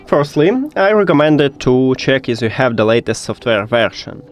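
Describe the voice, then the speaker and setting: Guy doing a how-to tech tutorial, pitch goes up and down a lot, bit halting, faint music. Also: expressive. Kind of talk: step-by-step spoken tutorial.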